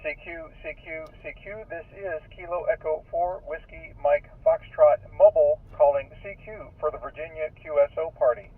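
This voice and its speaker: Male radio operator, rough-edged voice, formulaic phonetic call-out, narrow phone-like radio playback heard from a distance. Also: on the high side, normal speed, hardly any pauses, no room sound. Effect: radio transmission playback. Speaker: amateur radio operator calling stations. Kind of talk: recorded radio call using phonetic alphabet. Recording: tinny, narrowband radio speaker, far off.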